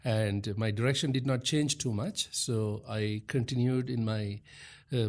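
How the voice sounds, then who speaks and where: A middle-aged man from Sri Lanka, a guest on a podcast, answering thoughtfully in short phrases with pauses. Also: on the low side, warm tone. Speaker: guest, leadership coach. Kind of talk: earnest interview answers.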